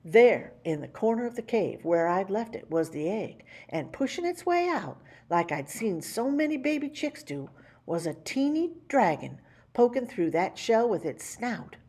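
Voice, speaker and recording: A woman reading a children's picture book aloud in an animated, folksy storytelling way. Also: mid-range voice, a little slow. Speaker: storytime reader.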